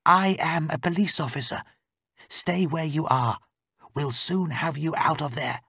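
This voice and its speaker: Man voicing a reassuring undercover policewoman, pitched up. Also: a little slow, creaky, pushed and bright, breathy edge, no echo, studio quality, exaggerated voice.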